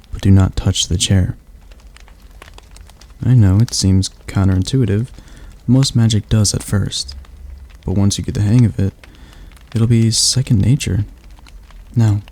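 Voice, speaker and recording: A man voicing a patient male demon tutor who teaches magic in a calm, gently commanding ASMR roleplay. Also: on the low side.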